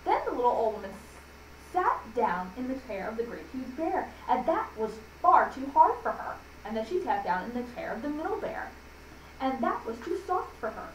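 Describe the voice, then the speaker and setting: A woman reading a children's story aloud for a library audience, deliberately and with small stumbles. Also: on the high side, sing-song. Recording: echoey room, distant mic, faint noise.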